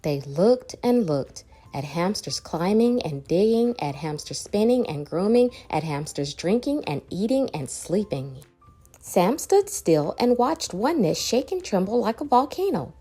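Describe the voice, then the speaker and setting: A woman reading a children's storybook aloud in an expressive, dramatic way with varied emphasis. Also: medium pitch, a little slow, husky, faint music behind. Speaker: children's book reader.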